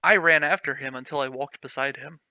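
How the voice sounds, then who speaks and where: A man's voice: mid-range voice, fairly even intonation, brisk, sharp tone, no echo. A young man reading a fanfic aloud as a comedy host, sarcastic and swearing, mocking the text as he goes.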